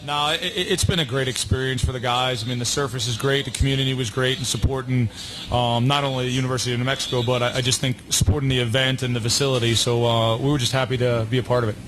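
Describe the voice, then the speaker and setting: A man, a college coach, answering media questions haltingly and repeating words as he goes. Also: mid-range voice, steady tone, a bit bright, low-quality recording. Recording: noticeable background noise, outdoor event.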